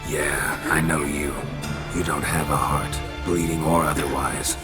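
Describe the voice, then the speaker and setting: Very deep, terse monster hunter. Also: male voice, a little slow. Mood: dry. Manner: terse, deadpan. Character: stern, dry, world-weary male monster hunter. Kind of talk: video game voice acting. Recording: loud music behind the voice.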